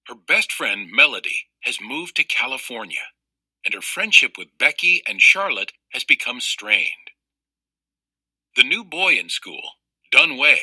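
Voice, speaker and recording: Bright, lively man reading a children's book. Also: medium pitch, husky, dry recording, low-quality recording. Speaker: read-aloud narrator. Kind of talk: expressive scripted children's book narration.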